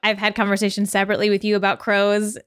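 A woman hosts a podcast interview in an easy conversational register. Her voice sits at a middle pitch, and her phrasing is informal, peppered with "like" and "you know". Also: completely flat delivery, fast talker, fairly bright tone, clear, resonant tone. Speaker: podcast host.

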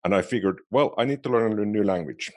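Deep-voiced Finnish man, casual podcast chat. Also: fast, darkish tone. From Finland. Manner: chatty, self-deprecating, restarts phrases often. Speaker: podcast co-host.

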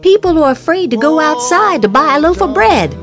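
A woman's voice: high voice, very animated, fairly quick, over music. A woman podcast host reading political social media posts aloud dramatically, with theatrical, exaggerated inflection.

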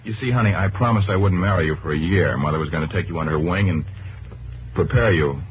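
A man's voice: low-pitched, fast talker, a little hiss or hum, close to an everyday voice. An actor playing an ardent, well-bred young man in love, earnest and eager, in a 1940s radio drama. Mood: eager, nervous. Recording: tinny old radio broadcast with music.

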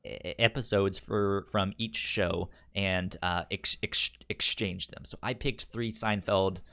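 A man co-hosting a podcast in casual, unscripted chat, with a stutter that repeats first syllables and a halting, explanatory way of talking. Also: low-pitched, fairly even intonation, gravelly, bright, forceful tone. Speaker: podcast co-host.

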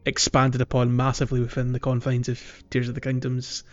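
A man's voice: medium pitch, monotone, fairly quick. Man with slightly lo-fi sound, co-hosting casually. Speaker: podcast co-host leading the discussion. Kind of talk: casual video game discussion podcast. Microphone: voice call over the internet.

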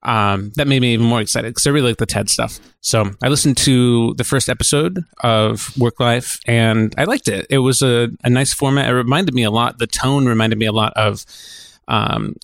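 A man co-hosting a podcast, chatting informally and telling things in a halting, conversational way. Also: medium pitch, vocal fry, no room sound, clean studio sound. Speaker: podcast co-host. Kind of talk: casual chat.

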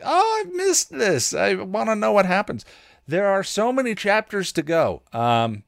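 A man's voice: on the low side, dry recording, studio quality. A man hosting a podcast, reading fan fiction and chatting casually. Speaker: podcast host and reader.

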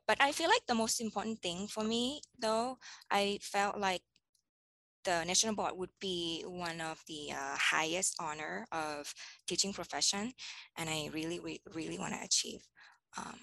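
A woman's voice: fairly low pitch, vocal fry, pushed and bright, no room sound. A woman in her thirties from Thailand, a teacher answering interview questions thoughtfully, with frequent ums and restarts. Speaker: teacher guest.

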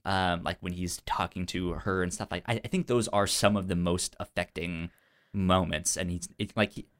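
A man co-hosting a film podcast in casual conversation, halting and stuttering as he repeats sounds and words. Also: deep voice, fairly even intonation, fairly bright tone.

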